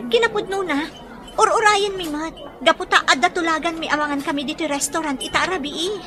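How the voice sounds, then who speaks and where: Woman acting sweet young woman, very high-pitched. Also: brisk, bright, forceful tone. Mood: friendly, puzzled. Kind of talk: Ilocano audio drama acting.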